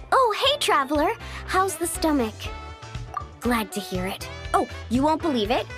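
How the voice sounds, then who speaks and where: A bubbly young game heroine, with a very high, lively voice that swings freely. She is friendly and breezy, a gushing outdoorsy type, over loud background music. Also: full character voice. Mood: cheerful, gushing. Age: young adult. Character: Mualani, bubbly young woman, friendly outdoorsy beach girl. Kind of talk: animated video game voice acting.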